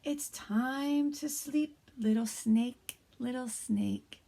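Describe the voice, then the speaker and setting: A woman in her thirties, a kindergarten teacher, reading a bedtime picture book aloud to young children in a soft, hushed voice that often drops to a whisper. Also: on the high side, drawn-out words, gravelly. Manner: gentle and encouraging to young children.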